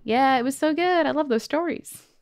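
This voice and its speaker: A woman's voice: sits high, normal speed, no room sound, studio quality. A woman co-hosting a podcast, reading listener stories aloud and chatting in a casual, colloquial way. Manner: colloquial, peppers speech with "like". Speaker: podcast co-host.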